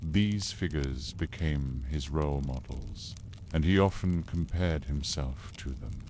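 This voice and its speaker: A man with an extremely deep, low voice reads a history story at a slow, drawn-out pace. His delivery is calm and soothing, meant to lull the listener toward sleep. A faint hush of ambient noise sits under the voice. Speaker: narrator. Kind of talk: scripted bedtime history narration.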